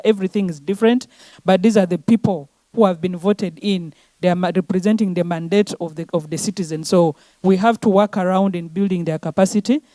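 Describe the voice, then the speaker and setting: A woman's voice: mid-range voice, no echo. A woman giving an earnest conference presentation, often restarting her phrases. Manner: earnest, steady delivery with frequent restarts.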